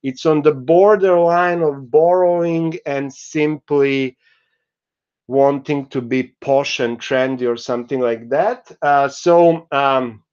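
A middle-aged man delivers a university lecture at an unhurried pace, pausing on fillers as he explains. His voice is smooth and clear, though the video call audio sounds dull and lo-fi. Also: mid-range voice, a little mellow. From Croatia. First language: Croatian. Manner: slow, explanatory, with frequent fillers. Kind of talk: recorded online lecture. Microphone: video call webcam.

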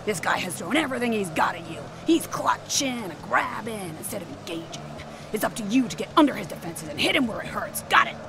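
A woman's voice: mid-range voice, very animated, a little fast, vocal fry, few pauses, noisy background. An actress playing a brash, tough boxing cornerwoman who scolds and urges in a comic audio drama. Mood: urgent coaching.

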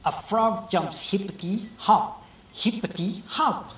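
A woman voicing a cheerful, obedient puppet child who repeats rhymes after the teacher in a slow sing-song. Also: medium pitch, close to an everyday voice. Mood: eager. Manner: repeats each line slowly and sing-song. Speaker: puppet pupil. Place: TV studio. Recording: roomy studio, mic at a distance.

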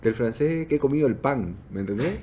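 A man's voice: medium pitch, animated, fast, a loud crowd. Playful man hosting a podcast. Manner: playful, teasing, laughs easily. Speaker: podcast host. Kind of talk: casual interview chat. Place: dark studio set. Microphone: desk mic on boom arm.

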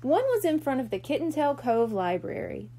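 A woman reading a children's book aloud as a library storyteller, expressive and clearly phrased. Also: sits high, moderate pace, slight background noise.

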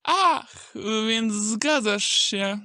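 A woman playing an old male wolf in a Polish audio drama, a smooth, contemptuous schemer with a creaky voice and an insinuating manner. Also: on the high side, lively intonation, very slow, sharp tone, dry recording, studio quality, full character voice. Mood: businesslike, coaxing.

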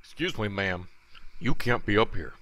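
A man's voice: lowish voice, expressive, moderate pace, pushed and bright, no room sound. A man acting a firm, by-the-book park employee in an audio drama.

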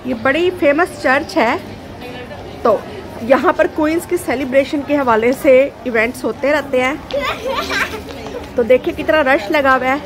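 A woman's voice: high-pitched, lively intonation, slow, long pauses. A woman vlogging outdoors as she walks through a busy city square, chatting to viewers like a tour guide while filming on a handheld phone. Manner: chatty tour-guide commentary, calls to her child. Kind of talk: casual vlog narration while walking. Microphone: handheld phone camera. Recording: busy crowd and music behind.

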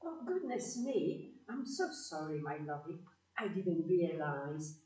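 A woman's voice: on the low side, big pitch swings, slow pace, mellow. A woman playing a fussing, good-humoured, chiding aunt in a community audio play. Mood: flustered, cheery. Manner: chiding, exclamatory. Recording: echoey room, slightly distant mic.

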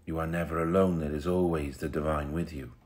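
Really deep voiced guy doing a guided meditation, soothing, takes his time, lots of pauses. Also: fairly even intonation, darkish tone. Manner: soothing, unhurried, pausing between short phrases.

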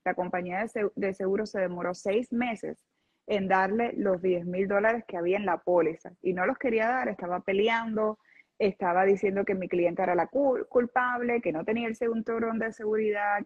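A woman's voice: mid-range voice, fairly even intonation, fairly quick, husky. A woman in her thirties, a lawyer, explaining cases in earnest on a video call with frequent restarts. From lived in Venezuela, not born there. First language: Spanish. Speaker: personal injury lawyer, guest. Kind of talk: explaining legal cases in an interview. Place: office with a city window behind. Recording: dull lo-fi video call audio.

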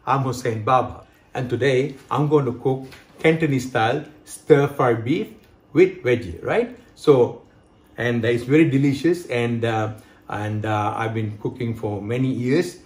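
A man in his fifties from Hong Kong talks through a home cooking demo in his own kitchen. He keeps checking in with the viewer as he goes, and his voice sits at an ordinary middle pitch. He is picked up by a camera held close by. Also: a little slow. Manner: chatty, explains step by step, many "okay" and "right" check-ins. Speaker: cooking show host. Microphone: camera close by, handheld.

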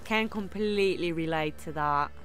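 A woman's voice: lowish voice, normal speed, a bit bright. A young German-born woman with a British-tinged English accent, chatting casually with viewers while streaming a game from home. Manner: chatty, reads viewer comments aloud. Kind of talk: casual live-stream chat while gaming. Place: room at home, indoors. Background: game music and sound effects.

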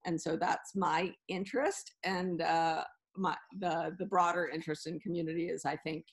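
A woman's voice: lowish voice, flat delivery, normal speed, husky. A woman introducing herself on a video call podcast, talking in loose sentences with fillers. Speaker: community company founder.

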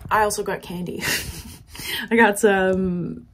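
A woman's voice: medium pitch, slow pace, gravelly, a bit bright. A young woman vlogging casually at home, chatty and candid, laughing often and filling her talk with "like". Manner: chatty, giggly and candid, with frequent laughs and filler "like". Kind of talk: casual vlog chat. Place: home, with laundry running.

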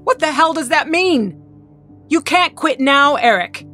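A woman's voice: sits high, animated, a little slow, pushed and bright, soft background music, exaggerated voice. A woman playing a bitter, commanding mutant villain leader in an audio drama, curt and scornful. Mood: angry, exasperated.

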